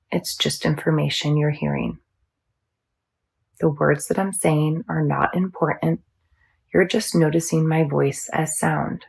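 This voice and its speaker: A woman teaching yoga nidra, guiding a meditation in a soothing, measured, instructional manner. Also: sits low, vocal fry, a little mellow, breathy edge. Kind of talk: guided meditation.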